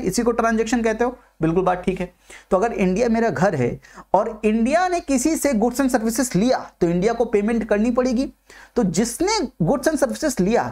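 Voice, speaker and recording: A man's voice: extremely high pitch, darkish tone. A male teacher delivers an exam-prep economics lecture for a video. He restates each idea several times and drops English terms into the explanation. He keeps stopping to ask whether the listener is following. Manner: repeats points and asks listeners questions; mixes English terms into talk.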